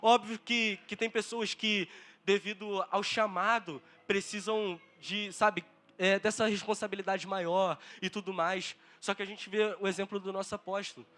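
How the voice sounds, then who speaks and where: A man's voice: high-pitched, fairly even intonation, normal speed, sharp tone. A young Brazilian man giving an impassioned, testimonial sermon in an earnest, emphatic manner, repeating phrases and asking rhetorical questions. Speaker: preacher at a church conference.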